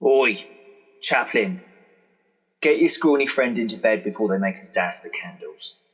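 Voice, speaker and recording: An actor playing a gruff but sympathetic workhouse inmate in a radio drama, scolding in colloquial speech. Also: male voice, on the low side, expressive, measured pace, a bit bright, low-quality recording. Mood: gruff, bossy.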